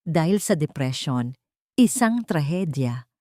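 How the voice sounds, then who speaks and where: A woman's voice: low-pitched, big pitch swings, takes it slowly, warm tone, dry recording, studio quality. A woman narrating a scripted dramatic story in a solemn, suspenseful manner.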